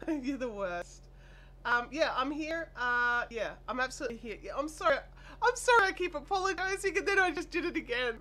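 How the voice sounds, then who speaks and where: An Australian woman hosting a casual craft live stream, chatty and apologetic, her voice made deeper and nasal by a chest cold and sore throat. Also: animated, moderate pace, sharp tone. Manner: chatty, apologetic, reads viewer comments aloud. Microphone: desk microphone. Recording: faint air conditioner hum.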